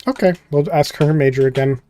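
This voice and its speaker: A man's voice: a little high, fast, mellow, faint music behind. A man giving casual, rambling, jokey gameplay commentary and reading game text aloud as host. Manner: rambling, jokey, skims text with filler words. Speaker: host playing a visual novel.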